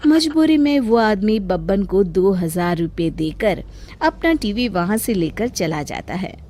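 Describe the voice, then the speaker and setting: A woman's voice: medium pitch, expressive, a little slow, mellow, a little hiss or hum. A woman storyteller narrating a scripted tale for children.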